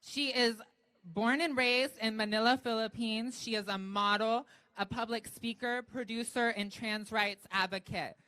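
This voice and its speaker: A woman's voice: on the high side, fairly even intonation, measured pace, sharp tone, clean tone, no room sound. A woman emceeing a march, thanking groups and introducing the next speaker with upbeat energy. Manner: upbeat, cheering. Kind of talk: event introduction. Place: outdoor rally.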